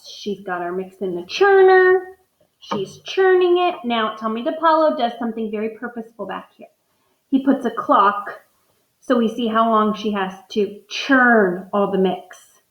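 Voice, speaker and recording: A woman's voice: fairly high pitch, animated, a little slow, reverberant, lo-fi sound. A woman teacher doing a read-aloud lesson, thinking aloud as she models inferences from the pictures for her students.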